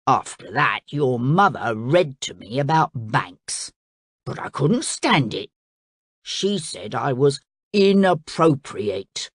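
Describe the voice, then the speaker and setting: A woman voicing a sulky, greedy male crocodile in a storybook reading, blunt and grumbling, with her voice pushed lower. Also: sing-song, slow, breathy edge, dry recording, studio quality, full character voice.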